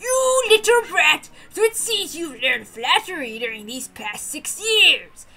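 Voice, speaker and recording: Woman acting a boisterous, drawn-out old grandfather. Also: very high voice, big pitch swings, bright, forceful tone, exaggerated voice. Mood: gleefully gruff. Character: boisterous laughing old grandfather, proud family patriarch.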